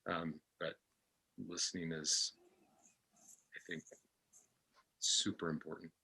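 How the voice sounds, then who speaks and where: A man reflecting as a guest in a discussion, halting and searching for his words, often repeating phrases. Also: deep voice, level tone, sharp tone, breathy edge, low-quality recording. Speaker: podcast guest.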